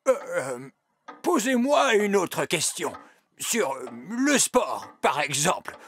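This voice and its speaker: A fussy old wise elf. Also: male voice, high voice, big pitch swings, slow, a bit bright, exaggerated voice. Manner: fussy, hesitant. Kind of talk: French cartoon dub.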